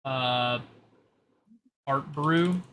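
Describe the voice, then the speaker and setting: Man, bright voice, game master, hesitant explanations, online call, faint noise. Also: mid-range voice, very slow. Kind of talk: improvised narration of an online tabletop roleplay.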